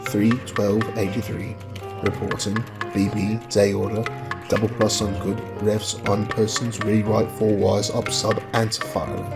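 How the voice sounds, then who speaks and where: A man acting out a low, flat official dispatch in an audio drama. The delivery is clipped and bureaucratic, with a gravelly edge. Loud music plays underneath. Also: measured pace. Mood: flat, stern. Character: flat, impersonal official Party message being read out.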